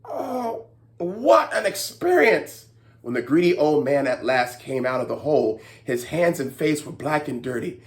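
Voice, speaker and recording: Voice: mid-range voice, very animated, measured pace. A children's storytime host who narrates expressively and talks instructively to young listeners, often checking in with them.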